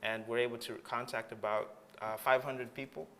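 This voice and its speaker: A man's voice: medium pitch, fairly even intonation, normal speed, pushed and bright. A man from Tanzania, a graduate student, answering interview questions with enthusiasm and lots of "you know" fillers. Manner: enthusiastic, rambling with many fillers.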